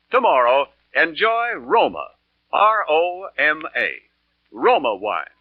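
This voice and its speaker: A man's voice: fairly high pitch, no room sound. A man with an American accent reading a scripted 1940s radio commercial as the announcer. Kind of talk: 1940s radio advertising read. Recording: muffled old radio recording.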